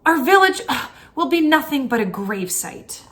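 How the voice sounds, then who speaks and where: A woman's voice: high-pitched, big pitch swings, normal speed, a bit bright, pitched above the performer's own voice, full character voice. A woman voicing a gloomy village father in a children's story, lamenting with emphasis. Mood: despairing.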